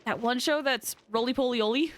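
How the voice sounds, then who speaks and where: A woman livestreaming a game, casually commenting on play and chatting to viewers with rambling, expressive asides. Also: on the high side, a little fast, a little hiss or hum. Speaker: game streamer.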